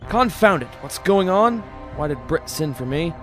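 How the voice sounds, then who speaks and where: A man's voice: medium pitch, moderate pace, faint music behind, close to an everyday voice. An actor playing a brusque, demanding father of a grown son in an old-time radio drama, blustering as he questions. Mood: exasperated, demanding.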